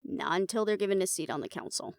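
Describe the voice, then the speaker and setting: A woman's voice: mid-range voice, lively intonation, fast, creaky, no echo. A woman playing an uptight, weary researcher in a fantasy audio drama, with a dry, exasperated way of talking. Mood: matter-of-fact. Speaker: voice actor in an audio roleplay. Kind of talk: fantasy audio drama roleplay, conversational acting.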